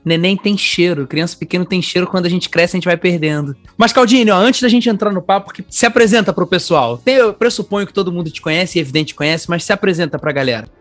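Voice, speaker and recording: A man's voice: sits high, fast talker, hardly any pauses, fairly bright tone, dry recording, faint music behind. A man with a Carioca accent hosting a casual podcast chat, speaking in an effusive, informal way. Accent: Carioca (Rio de Janeiro) Brazilian Portuguese. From Brazil, born in Bahia, raised in Rio de Janeiro. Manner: effusive, informal, addresses guest affectionately. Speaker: podcast host.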